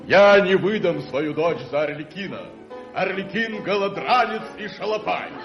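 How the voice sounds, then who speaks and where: Flustered, high-voiced pompous stage father. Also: normal speed, dull audio. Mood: declaiming. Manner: stammering, declamatory. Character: flustered amateur actor playing pompous old father Pantaloon. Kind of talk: radio play acting. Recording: echoey room with music behind.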